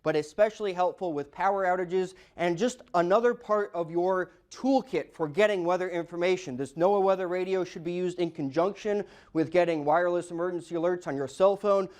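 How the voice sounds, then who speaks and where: A man's voice: high voice, brisk, few pauses, darkish tone. A man presenting weather information in a broadcast style.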